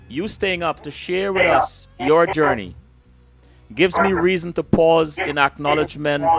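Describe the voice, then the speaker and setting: A man's voice: fairly high pitch, a bit bright. A man from Guyana, hosting an interview and thanking his guest effusively, often repeating small words. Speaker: host. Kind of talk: interview wrap-up.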